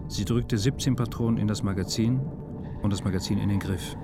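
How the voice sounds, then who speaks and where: Low-voiced male radio drama narrator, music behind. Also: fairly even intonation, brisk.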